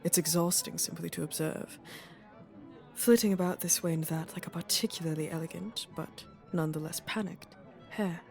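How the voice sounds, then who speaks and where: A woman's voice: on the low side, steady tone, normal speed, vocal fry, a little mellow, soft background music. A woman playing a regal fae queen in an audio drama, dry, blunt and teasing in an imperious way. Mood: wry, teasing. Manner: dry, imperious, fondly mocking. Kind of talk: scripted audio roleplay acting.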